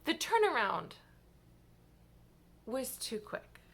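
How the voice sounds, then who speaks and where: A woman reading vlogger chatting casually and expressively, with halting thoughts that trail off. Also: fairly high pitch, big pitch swings, creaky. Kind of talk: casual reaction vlog chat.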